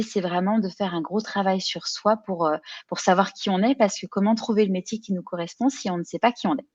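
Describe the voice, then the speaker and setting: A woman's voice: medium pitch, no echo, dull audio. A woman of forty from Paris, with an accent from France, telling her story in an interview in short, hesitant phrases. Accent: French from France. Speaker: podcast guest, career coach and entrepreneur. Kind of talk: personal account in a conversational interview.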